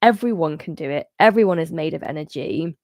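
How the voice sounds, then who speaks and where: A woman, congested and a bit muffled, talking casually to viewers on a live stream from a bright room at home into a studio mic. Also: low-pitched, brisk, no echo. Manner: chatty, gesturing, rambling with restarts. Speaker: host of a cat energy channel. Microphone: studio microphone on arm in front of her.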